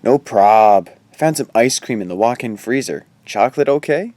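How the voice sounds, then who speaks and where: A man's voice: mid-range voice, lively intonation, normal speed, darkish tone, no echo, lightly acted. An actor playing a wry, skeptical teenage boy who talks in casual, sardonic slang in an audio drama. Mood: casual, curious. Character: wry, pop-culture-quoting skeptical teenage boy sidekick. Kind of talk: conversational audio drama acting.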